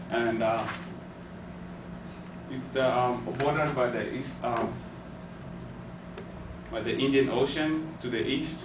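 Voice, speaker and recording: A man from Kenya giving an informative class speech, hesitant, with many fillers and restarts. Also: mid-range voice. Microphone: far camera. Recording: echoey, distant, tinny, noisy.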